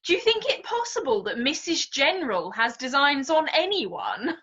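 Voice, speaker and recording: A dull recording of a woman acting a timid, earnest young lady in a period stage drama. Her voice is high and bright, with a polite, hesitant manner that suits a gentle younger sister. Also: big pitch swings, normal speed, heavily acted. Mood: gently doubting.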